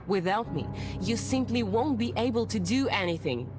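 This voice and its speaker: A woman's voice: mid-range voice, animated, moderate pace, natural voice. An actress playing a self-assured, mysterious female fortune-teller who coaxes and teases in a visual novel. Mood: coaxing, confident. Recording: voice over prominent background music.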